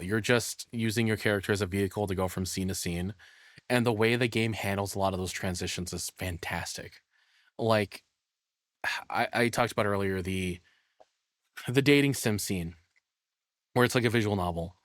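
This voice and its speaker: Male streamer, lowish pitch, informal rambling opinions, close dry sound. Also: normal speed, long pauses, fairly bright tone, no echo. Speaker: reaction streamer. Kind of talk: casual spoken reaction commentary.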